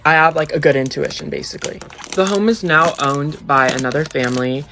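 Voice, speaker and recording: A man's voice: a little high, brisk, noisy background. A man telling a personal story casually and emphatically, with conversational slang. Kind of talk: casual first-person storytelling for social video.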